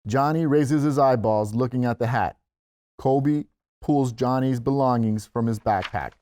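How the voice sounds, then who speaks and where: A man's voice: medium pitch, measured pace, mellow, no echo, clean studio sound. A man reading scripted stage directions as the narrator at a table read.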